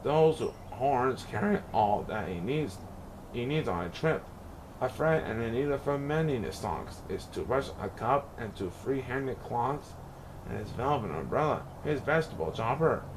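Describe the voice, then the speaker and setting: A man reading a rhyming children's story aloud in an expressive, playful, sing-song way. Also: medium pitch, creaky, noisy background. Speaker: picture book reader.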